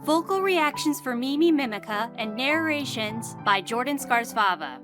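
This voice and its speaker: A woman's voice: high voice, animated, normal speed. A woman reading scripted storybook narration and credits for an audio drama. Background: music.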